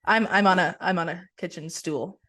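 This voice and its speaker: A young woman co-hosting a casual podcast from her kitchen over a video call, talking in a relaxed, rambling way with many "like"s and restarts. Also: on the low side, normal speed. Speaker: podcast co-host. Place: kitchen at home.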